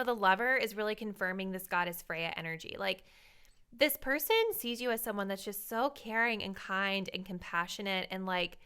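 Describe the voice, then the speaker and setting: A young woman reading tarot cards for her viewers in a chatty, thinking-aloud way, her voice a little raspy. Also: mid-range voice, pushed and bright. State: slightly raspy voice. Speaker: tarot reader.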